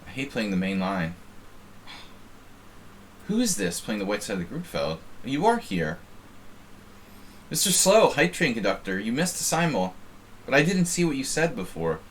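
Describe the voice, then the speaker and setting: A man's voice: medium pitch, big pitch swings, moderate pace, pauses often, a little hiss or hum. An American man casually chatting while streaming online chess, rambling and self-deprecating as he reads the chat. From United States.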